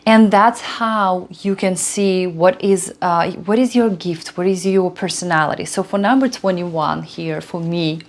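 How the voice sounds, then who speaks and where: A woman in her thirties from Belarus, a Russian speaker, explaining numerology in a chatty way full of fillers and restarts. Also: on the low side. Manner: chatty, many fillers and restarts, frequent "right?". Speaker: host, numerology coach. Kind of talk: casual explanatory talk.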